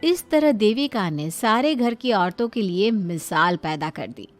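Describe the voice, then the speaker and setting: A woman's voice: mid-range voice, expressive, a little slow, soft background music. A woman narrator reading a scripted moral story in a dramatic storytelling style.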